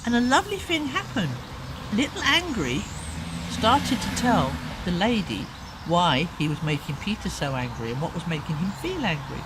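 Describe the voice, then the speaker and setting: A woman telling a children's story expressively, with dramatic pauses and chatty asides. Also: lowish voice, big pitch swings, heavy background noise.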